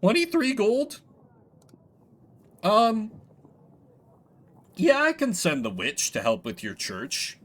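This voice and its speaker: A man's voice: high voice, wildly swooping pitch, slow pace, pauses often. A man streaming a game with casual commentary, a little congested from a cold. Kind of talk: casual game playthrough commentary, voicing dialogue.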